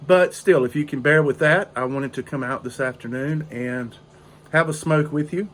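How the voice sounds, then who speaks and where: Hoarse older man, rambling. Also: fairly high pitch, a bit bright. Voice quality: raspy. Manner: slow, rambling, with frequent fillers. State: hoarse from a cold. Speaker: pipe-smoking video host. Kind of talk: casual storytelling chat. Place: outdoor porch in drizzling rain.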